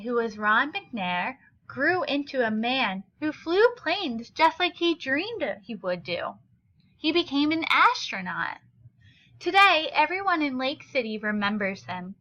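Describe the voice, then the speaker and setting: A woman reading a children's picture book aloud with deliberate, expressive phrasing for young listeners. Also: high voice, muffled recording. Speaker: read-aloud storyteller.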